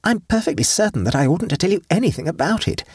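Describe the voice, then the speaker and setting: A man's voice: sits high, sing-song, quick pace, a little mellow, slightly rough, dry recording, clean studio sound, voice pushed up, full character voice. A man voicing a teasing sixteen-year-old girl. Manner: airy, teasing, conspiratorial.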